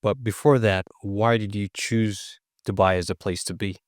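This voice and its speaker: A man's voice: lowish voice, steady tone, normal speed, dry recording, studio quality. A man hosting a podcast, asking short, casual follow-up questions. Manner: brief prompts and follow-up questions. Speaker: podcast host.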